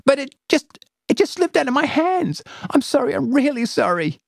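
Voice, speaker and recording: A man's voice: high voice, lively intonation, a little fast, no room sound, pitched above the performer's own voice. A man voicing a timid young girl who stammers and apologizes in a rush. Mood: flustered. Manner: stammering, eager and breathless.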